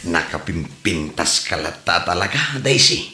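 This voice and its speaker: A man's voice: sits low, expressive, measured pace, over music. A man with an Ilocano accent playing a sheepish, whiny cheating husband in an audio comedy drama. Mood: flirty. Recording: echoey room.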